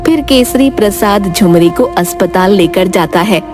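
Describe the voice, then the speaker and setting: A woman with a mid-pitched voice tells a scripted children's tale as narrator. Music plays clearly underneath her. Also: lively intonation, measured pace.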